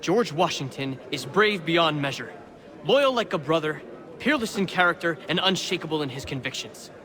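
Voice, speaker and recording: An actor playing a wry, suspicious colonial prisoner in a video game, talking in an arch, eloquent, theatrical way. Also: male voice, fairly high pitch, expressive, moderate pace, sharp tone. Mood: fervent. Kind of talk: video game cutscene acting.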